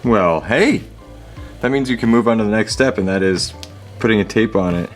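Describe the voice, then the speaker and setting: A man with a low-pitched voice hosts a casual hands-on repair vlog in a garage. He is wry and jokey, often addressing the objects in front of him as he goes. Music plays clearly behind his commentary. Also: moderate pace. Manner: jokey, sarcastic, talks to the objects. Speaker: host of a hobby repair video. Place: home garage.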